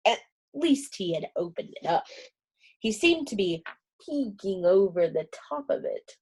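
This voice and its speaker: A woman reading a children's storybook aloud, gentle and expressive. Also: medium pitch, measured pace, breathy edge.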